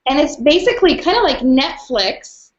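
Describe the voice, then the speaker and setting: A woman's voice: high voice, lively intonation, normal speed. A woman co-hosting a casual chat, recommending classroom tools over a video call. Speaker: podcast co-host and educator. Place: small indoor office, video call. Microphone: shared computer microphone. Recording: roomy, dull lo-fi video call.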